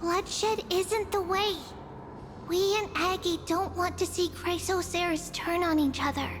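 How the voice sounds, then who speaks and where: A serene high holy maiden. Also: female voice. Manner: calm, gentle. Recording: game music behind.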